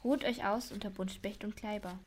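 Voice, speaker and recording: A woman's voice: mid-range voice, steady tone, moderate pace, darkish tone, a little hiss or hum, lightly acted. A woman playing an eager, talkative child detective in a children's audio drama, reasoning aloud with emphasis. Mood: confident.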